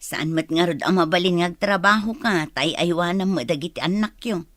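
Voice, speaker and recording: A woman's voice: fairly low pitch, brisk, a bit bright, dry recording, lightly acted. An actress playing an insistent, critical and worried female relative in a radio drama. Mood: nagging, concerned. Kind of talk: radio drama acting in dialogue.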